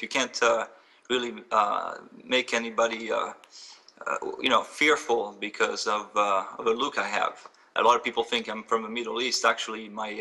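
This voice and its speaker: A man, a guest telling stories in quick, earnest bursts full of fillers. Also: medium pitch, bright, forceful tone, far from the mic. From France. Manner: earnest, fast, with many fillers. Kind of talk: storytelling interview answers.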